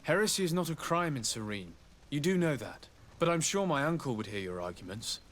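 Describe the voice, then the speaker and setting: A man playing a polite young nobleman and diplomat in a fantasy video game, speaking in a measured, formal way. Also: fairly high pitch, very animated, a bit bright. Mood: measured, inquiring.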